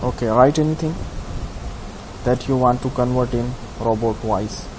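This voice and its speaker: A man giving a step-by-step software tutorial in simple, halting instructions. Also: mid-range voice. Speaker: tutorial host. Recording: clear background hiss.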